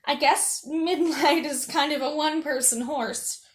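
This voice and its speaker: A woman's voice: sits high, steady tone, unhurried, echoey room. A woman voicing an eager, bold young princess in a storybook reading, earnest in manner. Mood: helpful.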